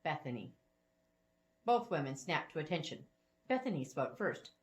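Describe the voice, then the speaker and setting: Woman reading a story, very lively pitch. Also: normal speed. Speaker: author reading own story aloud. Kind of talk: expressive storybook narration.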